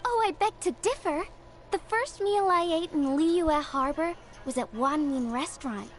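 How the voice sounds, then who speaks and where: A woman voicing a humble, polite young craftswoman in a video game, gushing and quick to apologize. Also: high voice, close to an everyday voice. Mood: humble, warm. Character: young craftswoman, humble, polite, bubbly, easily carried away.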